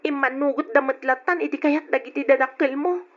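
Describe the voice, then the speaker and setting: An actress playing a young, long-suffering but outspoken wife in an old radio drama, plaintive and reproachful, on a lo-fi recording. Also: female voice, sits high, fairly even intonation, fairly quick, lightly acted. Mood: defensive.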